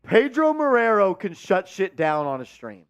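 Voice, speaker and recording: Male stream host, high ringing voice, calls plays with excitement over faint music. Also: normal speed, clean tone, muffled recording. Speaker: watch party host. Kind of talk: live-stream watch party chat and play-by-play.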